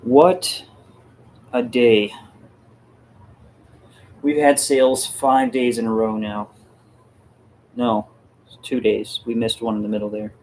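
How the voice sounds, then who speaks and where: A man talks casually and slowly, as if thinking aloud while hosting a stream. His manner is informal, and there is faint noise in the background. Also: medium pitch, little pitch movement, darkish tone, poor audio. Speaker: livestream host and product maker. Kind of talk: casual chat.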